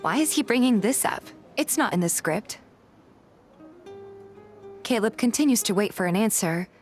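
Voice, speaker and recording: A woman's voice: mid-range voice, animated, normal speed. Woman narrating a story over music. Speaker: narrator and voice actor of a fan dub. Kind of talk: scripted first-person story narration and reading.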